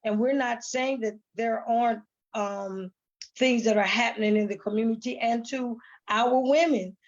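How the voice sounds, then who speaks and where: A woman's voice: on the high side, steady tone, moderate pace. An American woman speaking earnestly and casually as an interview guest on a video call, repeating words for emphasis. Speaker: stage play cast member as guest. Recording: dull online call audio.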